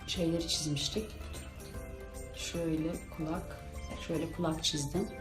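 A woman's voice: low-pitched, fairly even intonation, normal speed, echoey room, faint music behind. A woman hosting a video, chatting casually and playfully, with exclamations during a makeup challenge. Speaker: video host.

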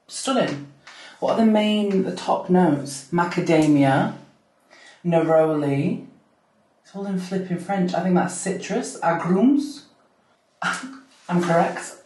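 A young woman with a deep voice, chatting to camera at home in a gushing, giggly way. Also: a little mellow. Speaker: vlogger. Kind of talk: casual chat to camera. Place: home bathroom at night, then a bright kitchen. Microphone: camera on a low stand. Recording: echoey room, camera a bit far.

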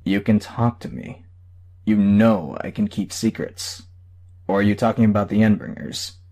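Man playing a husky, sceptical, suave superhero. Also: fairly low pitch, fairly even intonation, moderate pace, a little mellow. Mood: sceptical, resigned.

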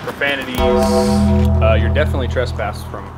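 Male cop giving orders outside, far from mic, loud music over it. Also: mid-range voice, steady tone, normal speed. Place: outdoors on a store forecourt. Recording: distant location sound under loud music.

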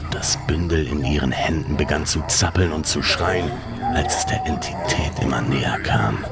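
A man reading a scripted horror story as a dramatic narrator, in a hushed, breathy, ominous delivery. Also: rumbling low, noisy background. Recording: music behind the voice. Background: music.

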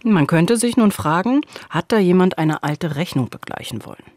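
A middle-aged woman co-hosting a true-crime podcast, narrating from a script in a measured, deliberate way at a studio microphone. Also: fairly low pitch, expressive, a little mellow, no echo. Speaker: podcast co-host. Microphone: studio microphone on a boom. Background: music.

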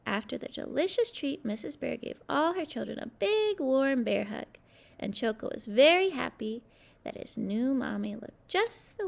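A woman narrating a children's storybook read-aloud in an expressive way, addressing young listeners. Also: a little high, gravelly.

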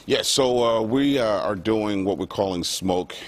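A man's voice: on the low side, a little slow. A man, a chef, promoting a benefit dinner on a live TV cooking segment, easygoing and enthusiastic. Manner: easygoing, enthusiastic, laughs and cheers on. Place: TV studio kitchen set. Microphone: lapel mic.

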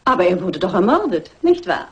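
An actress playing an artless, indiscreet aunt in a crime radio play, chatty, naive and insinuating. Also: female voice, on the low side, very animated, brisk, highs cut off, faint music behind. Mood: artless insinuation. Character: artless, indiscreet aunt blurting family secrets.

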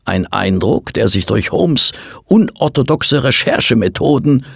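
An actor playing a detective's measured gentleman companion, narrating the case in a German radio play with a husky voice. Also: medium pitch, animated, no echo, studio quality, full character voice. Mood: measured, storytelling.

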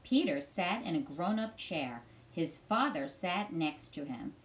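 A middle-aged woman gives an expressive storybook read-aloud for children. She takes her time, with lively, varied pitch, and soft music plays faintly behind her. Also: a little slow. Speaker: teacher reading aloud to children.

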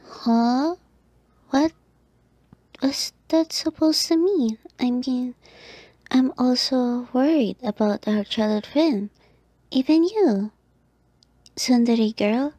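A woman playing a breathy, slow yandere girl. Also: high-pitched, dark tone, no echo. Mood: concerned, defensive. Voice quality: breathy, often dropping to whispers. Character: sweet, watchful yandere girl, a childhood friend. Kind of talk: ASMR roleplay acting.

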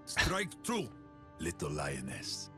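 A solemn, heroic warrior from a video game. The delivery is slow and declamatory, with strong swings in intonation. Music plays behind it. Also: male voice, rumbling low, huge pitch swings, exaggerated voice. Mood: solemn resolve. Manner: grave, declamatory. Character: solemn heroic male warrior of unyielding resolve. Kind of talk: video game character voice lines.

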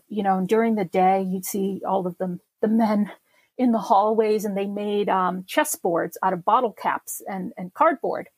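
Husky-voiced middle-aged woman recalling her past. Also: mid-range voice, no room sound, low-quality recording. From Czechoslovakia. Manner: reflective, many fillers and restarts. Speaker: guest. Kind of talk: personal memoir interview.

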